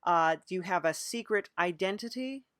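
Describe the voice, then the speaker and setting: A woman with a fairly low voice hosts an improvised comedy interview. She speaks haltingly, with a deadpan manner. The recording is very dry, without room sound. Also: no room sound. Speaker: podcast host.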